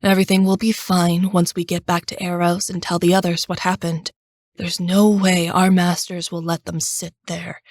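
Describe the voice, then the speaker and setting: A woman voicing a gentle teenage boy, soft and calm as he reassures his sister. Close, dry storybook sound. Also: fairly low pitch, normal speed, dry recording. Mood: reassuring.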